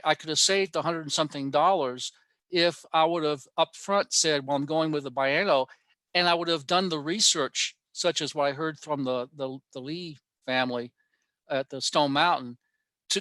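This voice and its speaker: A man giving an informal technical presentation online, pausing often in mid-sentence as he explains. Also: fairly high pitch, bright, forceful tone, no echo. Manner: halting, with frequent mid-sentence pauses. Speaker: amateur radio club presenter. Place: online workshop talk.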